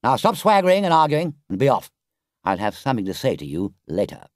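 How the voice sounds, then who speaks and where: A man's voice: mid-range voice, huge pitch swings, moderate pace, a little mellow, no room sound, clean studio sound, pitched above the performer's own voice. A man voicing a sensible, loyal water rat from a storybook, with a firm, emphatic, scolding manner. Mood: stern, scolding.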